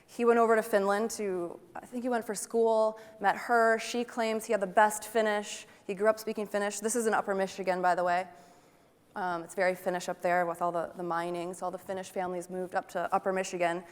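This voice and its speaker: A woman's voice: mid-range voice, level tone, brisk, clear, resonant tone. A young woman giving a photography talk, chatty and enthusiastic and asking her audience questions. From Wisconsin, United States. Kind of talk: conference presentation to an audience. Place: large conference hall. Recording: long hall reverb.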